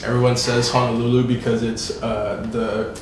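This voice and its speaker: A relaxed young man in his twenties, raised in Hawaii, chats loosely as a music guest, full of fillers and restarts. His voice rings around a very echoey room and sits away from the mic. A faint hiss of noise stays underneath. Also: mid-range voice, normal speed, distant mic. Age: 20s. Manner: relaxed, rambling, filler-heavy. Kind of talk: casual interview chat.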